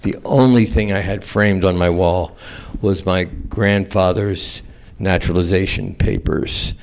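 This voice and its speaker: Deep gravelly-voiced male attorney. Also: steady tone, measured pace. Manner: earnest, with fillers and self-corrections. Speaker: trial attorney. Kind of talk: panel remarks.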